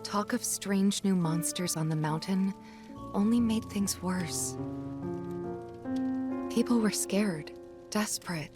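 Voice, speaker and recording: Woman playing earnest young village woman, mid-pitched. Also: takes it slowly, long pauses, natural voice. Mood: somber recounting. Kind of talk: video game cutscene acting. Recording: music behind the voice.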